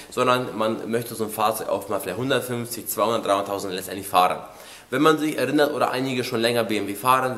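The echo of an indoor workshop surrounds this man's voice, which sits at an average pitch and sounds a little stuffed up from a cold. He explains things like a mechanic talking straight to a customer. His wording is colloquial, direct and matter-of-fact. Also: fairly bright tone. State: slightly congested with a cold. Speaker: car workshop mechanic presenting. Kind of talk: informal explanatory talk to camera. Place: car repair workshop, indoors. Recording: echoey workshop room.

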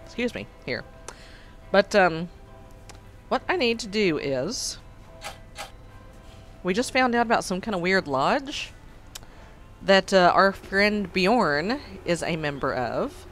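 A man hosting a let's play, hoarse and froggy after being sick, making wry, sarcastic asides over the game. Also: high-pitched, very animated, slow, long pauses, a bit bright. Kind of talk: casual game commentary. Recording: faint game music behind.

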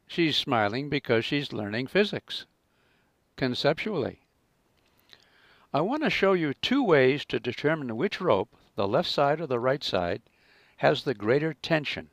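A man narrating a scripted physics lesson as a teacher, in a measured, explanatory manner. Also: mid-range voice, dry recording, studio quality.

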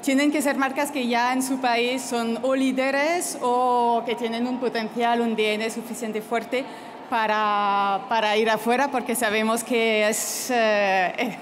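A French woman presenting at a conference in Spanish as a second language, pausing often with fillers. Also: fairly high pitch, steady tone, slight background noise. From France. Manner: hesitant, many filler pauses. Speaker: restaurant consultant speaker.

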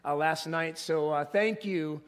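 A middle-aged male pastor with a gravelly, hoarse voice, preaching an animated sermon from a church stage. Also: a little high, measured pace. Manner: animated, gesturing while pacing the stage, rising to emphatic peaks. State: hoarse, voice strained. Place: large church stage with an audience.

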